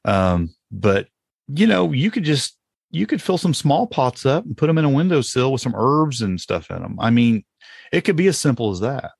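A man's voice: medium pitch, very animated, moderate pace, creaky, slightly rough, no echo, clean studio sound. A man hosting a podcast, chatting casually in a folksy way as he explains things off the cuff. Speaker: podcast host. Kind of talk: casual chat about home gardening.

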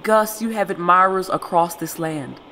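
A woman's voice: on the low side, moderate pace, sharp tone, slight background noise. An actress playing an elderly, devout mother, tender and worried, speaking solemnly and coaxingly in an audio drama. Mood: admiring, tender.

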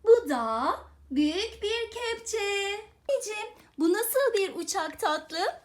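A woman with a strikingly high-pitched voice talks to her young child as a teaching mother. She uses simple questions and answers, repeats herself in a singsong way and offers affectionate encouragement. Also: expressive, takes it slowly.

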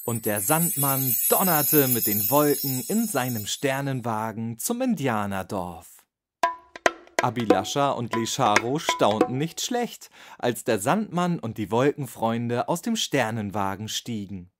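A man's voice: medium pitch, big pitch swings, measured pace, music in the background. A man narrating a scripted bedtime story for children. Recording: clearly noisy background.

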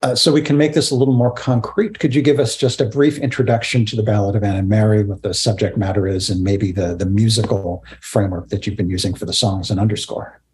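A middle-aged man hosts an interview over a video call. His voice is on the low side, and he phrases his questions in a measured, formal way. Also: steady tone, a little mellow.

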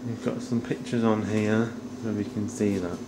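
Middle aged guy filming a walk round a house, monotone, low key, kinda trailing off, noisy camera audio. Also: normal speed. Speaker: vlogger giving a house tour. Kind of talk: casual off-the-cuff narration while filming. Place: indoors, walking through rooms of a house. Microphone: handheld camera at arm's length.

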